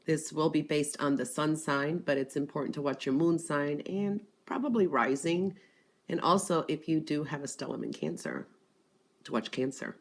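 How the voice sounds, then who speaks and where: A woman with a stuffed-up, congested voice giving an intuitive spiritual reading, pausing often with "um" and repeating that she feels the energy. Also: low voice. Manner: hesitant, frequent "um" and "I do feel that energy". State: congested from allergies. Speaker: tarot and gemstone reader.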